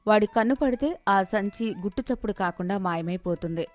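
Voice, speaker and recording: A woman's voice: mid-range voice, a little fast, a little mellow, soft background music. A woman giving scripted narration of a moral story as its storyteller.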